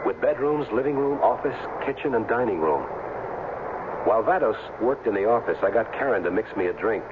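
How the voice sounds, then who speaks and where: A man's voice: on the low side, lively intonation, brisk, background noise, natural voice. A man acting a wry, curious ex-football player who narrates and talks in a casual way in a 1970s radio drama. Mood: reflective, wry. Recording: tinny vintage radio over music.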